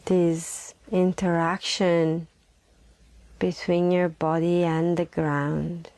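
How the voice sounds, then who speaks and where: Slow, creaky woman guiding meditation. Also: lowish voice, little pitch movement, darkish tone, dry recording. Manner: soothing, slow, with long pauses and repeated phrases. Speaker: guided meditation host. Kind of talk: guided meditation.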